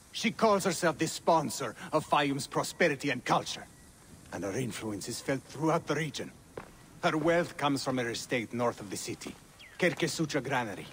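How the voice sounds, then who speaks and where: A man playing a grieving, weary Egyptian father in a game cutscene, speaking gravely and formally with measured delivery. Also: mid-range voice, fairly bright tone, a little hiss or hum, natural voice. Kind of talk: dramatic game cutscene acting.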